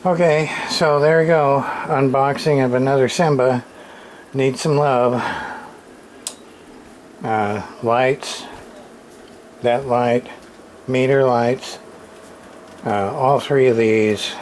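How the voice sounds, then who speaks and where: A man giving a casual hands-on repair demonstration, muttering to himself in halting short phrases with plain, folksy, mildly salty wording. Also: medium pitch, darkish tone. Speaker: radio repairer, channel host. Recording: clearly noisy room recording, background ambience.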